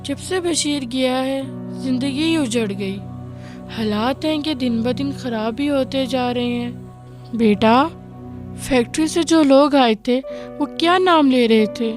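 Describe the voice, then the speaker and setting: Poor widow for radio drama, played by a woman: slow, earnest, plaintive, music underneath. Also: sits high. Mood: weary, despairing. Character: poor, weary widowed mother, pleading yet dignified. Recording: music behind the voice.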